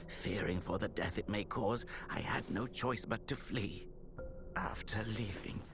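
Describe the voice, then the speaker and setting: A solemn Taoist healer from a fantasy game. He speaks slowly and formally, with a gentle courtesy and a gravelly creak that trails into breath. Music plays underneath. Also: low-pitched. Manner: formal, measured, gentle phrasing. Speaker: non-player character in a video game. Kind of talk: dramatic video game dialogue. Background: background music.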